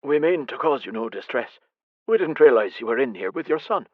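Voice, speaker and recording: A man voicing a grizzled older Irish stablemaster who speaks gently with a lilting, upward inflection. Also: medium pitch, animated, brisk, no echo, phone-quality sound, voice pushed up, lightly acted. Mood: reassuring.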